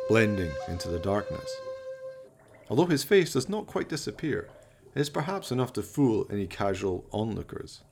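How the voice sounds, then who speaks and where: A man's voice: lowish voice, gravelly, a little mellow, a little hiss or hum. A man narrating a scripted radio play in an arch, florid, dramatic storytelling manner.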